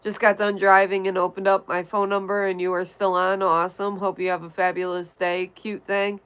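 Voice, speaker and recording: A woman's voice: medium pitch, level tone, moderate pace, fairly bright tone, clear, resonant tone. A woman hosting a casual livestream, reading viewer comments aloud and chatting back to them. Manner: chatty, answers comments directly. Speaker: livestream host. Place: home in Connecticut.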